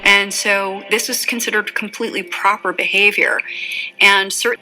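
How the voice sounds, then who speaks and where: A woman's voice: medium pitch, steady tone, moderate pace, bright, forceful tone, rough recording. A woman explaining in a matter-of-fact way in a documentary.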